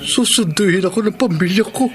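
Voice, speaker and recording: An actor playing a grieving, resigned Filipino widower in radio drama style, subdued and halting. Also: male voice, high voice, background noise, lightly acted. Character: grieving, resigned, forgiving widower who expects death soon.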